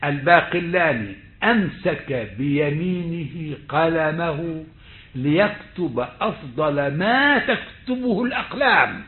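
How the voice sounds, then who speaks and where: A male lecturer with an Egyptian accent giving a slow, deliberate online lecture, often stretching words and restarting his phrases. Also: fairly high pitch, expressive. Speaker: university lecturer. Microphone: computer mic on a video call. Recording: tinny phone-like call audio.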